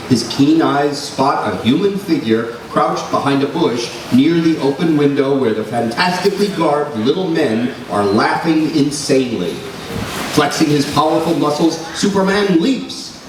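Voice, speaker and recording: A man playing a dramatic narrator of an old-time radio serial. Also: fairly high pitch. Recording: very echoey hall, distant mic, noisy.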